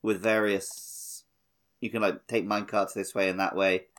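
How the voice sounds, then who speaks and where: A man's voice: on the low side, little pitch movement, normal speed, fairly bright tone. A man co-hosting a podcast, chatting casually about a video game with wry, dry humour. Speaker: podcast co-host.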